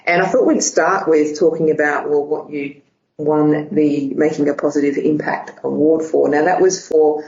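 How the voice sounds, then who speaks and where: A woman's voice: deep voice, fairly even intonation, moderate pace, darkish tone. A woman hosting a podcast interview, enthusiastic and effusive as she welcomes and questions her guest. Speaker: podcast host. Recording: roomy, slightly dull sound.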